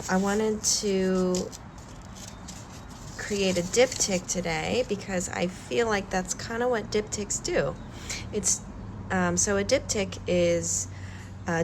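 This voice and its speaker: A woman speaks in a low-pitched, slow, soft-spoken way, with the slightly stuffy nose of a cold. She talks hesitantly with many pauses and fillers, like a calm art vlogger showing her work. Steady background noise sits behind her voice. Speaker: collage artist vlogger. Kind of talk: calm art-demonstration vlog.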